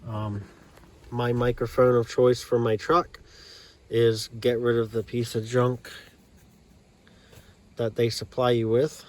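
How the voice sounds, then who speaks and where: An American man giving a casual, unscripted video tour as a hobbyist, in a halting, plainspoken way with pauses mid-sentence. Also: medium pitch. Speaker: amateur radio hobbyist giving a setup tour.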